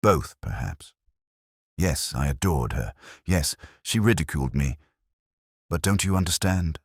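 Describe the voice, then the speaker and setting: Man reading as a deep-voiced lovesick playwright. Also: lively intonation, unhurried, vocal fry, slightly rough, dry recording, studio quality, exaggerated voice. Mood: rueful devotion. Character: anguished lovestruck male playwright, dramatic and devoted. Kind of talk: audiobook character dialogue.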